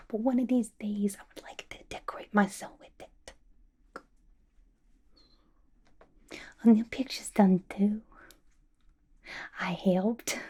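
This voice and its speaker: A woman voicing a playful, unhinged young villain inmate in a chummy, slangy ASMR roleplay, mostly in a breathy whisper. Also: mid-range voice, warm tone, natural voice. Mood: reassuring, chummy.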